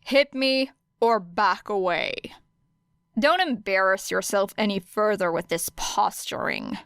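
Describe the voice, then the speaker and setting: A woman voicing a weary, sardonic teenage girl student in a deadpan, understated manner. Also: medium pitch, slow, clear, resonant tone, no echo. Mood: cold sarcasm. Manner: dry, unemotional, understated.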